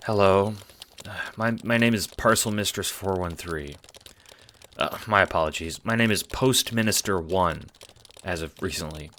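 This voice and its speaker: A man's voice: deep voice, moderate pace, fairly bright tone, a little hiss or hum. Man playing a timid, formal postal worker. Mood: nervous, apologetic. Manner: hesitant, stammering, formal. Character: nervous, apologetic, earnest postal worker. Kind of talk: audio drama character acting.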